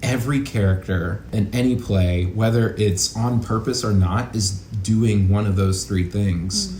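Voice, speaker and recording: A man's voice: fairly low pitch, unhurried, a little mellow. Guy chatting on an interview, thoughtful and sincere, echoey room w a bit of background noise. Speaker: guest, actor and acting educator. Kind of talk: casual interview conversation. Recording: roomy echo, light background noise.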